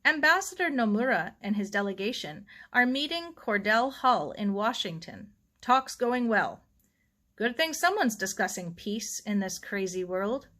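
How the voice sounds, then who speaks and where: A woman narrator puts on an older, opinionated storybook uncle who grumbles through his newspaper. The delivery is animated and gruff, with wide swings of pitch. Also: measured pace. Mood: grumbling.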